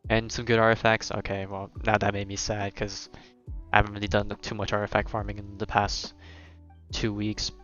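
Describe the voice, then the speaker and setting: A man's voice: fairly low pitch, completely flat delivery, moderate pace, a bit bright. A young man chatting casually and thinking aloud as he commentates on a game he is streaming live. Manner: rambling, conversational, thinking aloud. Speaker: game livestreamer. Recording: slightly dull mic over game music. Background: game music.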